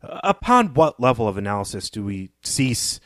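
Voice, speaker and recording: A man's voice: mid-range voice, very animated, moderate pace, a bit bright, no echo, clean studio sound. A man co-hosting a literary podcast, speaking conversationally with thoughtful, academic phrasing. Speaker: podcast co-host.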